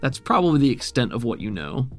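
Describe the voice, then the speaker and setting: A man's voice: medium pitch, very animated, quick pace. Man game-mastering over guitar music. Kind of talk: narrating a tabletop role-playing game, conversational. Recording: guitar music playing behind the voice. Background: plucked guitar background music.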